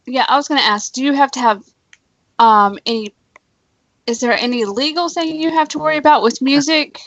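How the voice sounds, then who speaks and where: A woman's voice: high voice, fairly quick, fairly bright tone, dry recording, muffled recording. A woman streamer joining a casual chat, curious and asking questions. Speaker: guest, streamer.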